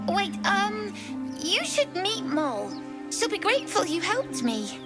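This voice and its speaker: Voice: very high-pitched, wildly swooping pitch, measured pace, heavily acted. An actor playing a frightened, earnest young child who speaks hesitantly, in a video game. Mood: shaken. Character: frightened young boy lured by enchanting song. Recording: music behind the voice.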